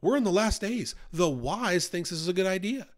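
A man's voice: high voice, lively intonation, normal speed. A man leading an informal Bible study podcast, talking in an earnest, conversational way and pushing his voice up for emphasis.

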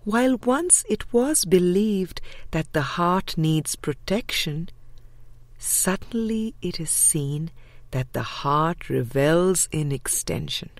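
A woman reading a spiritual audiobook with measured, deliberate phrasing. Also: lowish voice, lively intonation, dry recording. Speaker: audiobook reader.

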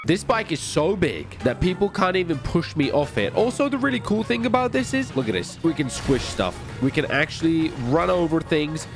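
A man hosting a gaming video, giving excited, playful and boastful commentary full of exclamations. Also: fairly high pitch, moderate pace, hardly any pauses. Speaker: gaming video host and player. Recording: music behind the voice. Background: music.

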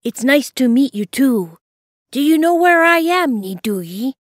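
A woman's voice: high voice, lively intonation, unhurried, no echo, studio quality, pitched above the performer's own voice. A woman voicing a brave, polite young boy explorer in a children's story, with an earnest, eager manner. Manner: bright, earnest, courteous.

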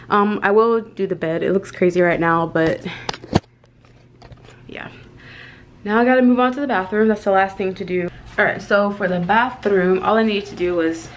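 A young adult woman chatting casually to a vlog camera, thinking aloud informally as she tidies at home. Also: fairly low pitch. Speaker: home vlogger. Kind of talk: casual vlog chat while tidying. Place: small indoor home rooms: closet, bedroom, bathroom. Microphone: handheld or tripod vlog camera. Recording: clear background noise with handling clicks.

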